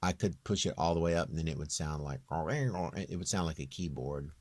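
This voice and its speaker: A man with a very low, near-bass voice giving a casual, off-the-cuff software walkthrough in a rambling, plain-spoken, self-deprecating way. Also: moderate pace, no room sound. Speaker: home-recording singer giving a tutorial.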